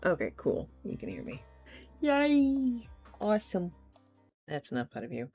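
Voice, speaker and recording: Sleepy rough-voiced woman streaming a game, talks slow, voice bounces all over, lots of giggling. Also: lowish voice, huge pitch swings, warm tone, soft background music. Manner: chatty, giggly, talks to chat while playing. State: rough, tired morning voice. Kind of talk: casual gaming livestream chat.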